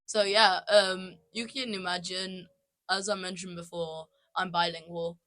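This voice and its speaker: A woman's voice: lowish voice, little pitch movement, unhurried, fairly bright tone, no echo, clean studio sound. A teenage girl co-hosting a casual student podcast, talking in a chatty way, repeating herself and laughing as she goes. English is not her first language. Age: teens. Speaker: podcast co-host. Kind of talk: casual chat.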